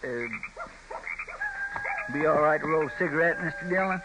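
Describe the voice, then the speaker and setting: An actor playing a deferential, talkative frontier deputy with a drawling rural American accent in an old-time radio western. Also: male voice, a little high, normal speed, close to an everyday voice. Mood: chatty, obliging. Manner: drawling, folksy, eager to agree. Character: folksy, deferential, talkative frontier deputy sidekick, grown man. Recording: noisy old radio recording.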